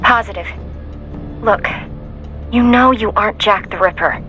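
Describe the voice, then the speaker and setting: An actress playing a young, loyal, idealistic mission support operator in a video game, hesitant yet heartfelt. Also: female voice, medium pitch, measured pace, pushed and bright, faint music behind. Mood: reassuring.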